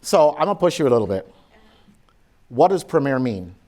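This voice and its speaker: Barbadian man, middle-aged, blunt and sassy keynote speaker, mid-pitched voice. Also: sing-song, a little fast, darkish tone. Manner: blunt, sassy, joking asides. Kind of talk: lively conference keynote with audience interaction.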